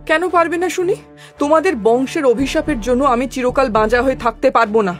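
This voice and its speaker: A woman's voice: high voice, a little fast, faint music behind, lightly acted. A woman voicing a stubborn, demanding young wife in a cartoon story. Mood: angry, insistent.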